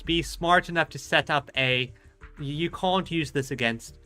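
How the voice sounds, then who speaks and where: A man giving casual, halting and sarcastic live commentary as he streams a video game. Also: on the high side, pushed and bright. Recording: faint game music behind.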